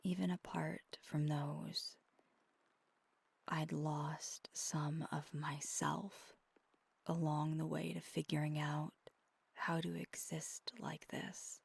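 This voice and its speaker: A woman playing a wistful, tender vampire girlfriend in an intimate ASMR roleplay, confiding in a soft, halting, breathy half-whisper close to the mic. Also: low voice, flat delivery, heavily acted. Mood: tender, reflective. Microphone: close microphone.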